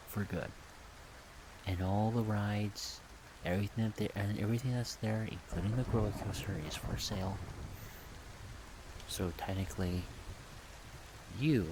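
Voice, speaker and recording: A man rambling in a soft-spoken ASMR style, unscripted, thinking aloud in halting short phrases. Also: lowish voice, noisy background. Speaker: ASMR video creator.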